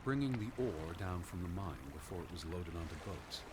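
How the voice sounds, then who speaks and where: A man voicing a serious, scholarly American naturalist in a video game, measured and earnest. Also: low voice, expressive, noisy background. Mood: dry, explanatory.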